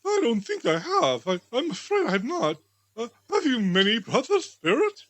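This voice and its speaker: Lady doing a scared old miser guy from a storybook, high n husky, stammery, begging earnestly. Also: wildly swooping pitch, normal speed, dry recording. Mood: timid, pleading. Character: timid, humbled old male miser, nervously pleading.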